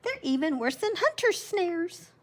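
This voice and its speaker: An older woman voicing a small, frightened male hare in a storybook, in a high squeaky voice. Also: big pitch swings, measured pace, full character voice. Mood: alarmed.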